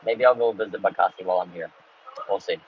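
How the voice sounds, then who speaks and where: A man, though his is Russian. He answers live chat questions in a relaxed, chatty way and laughs between answers. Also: low voice, fast talker. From Ukraine, grew up in the United States. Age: 20s. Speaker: travel YouTuber. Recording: dull lo-fi sound with faint background noise.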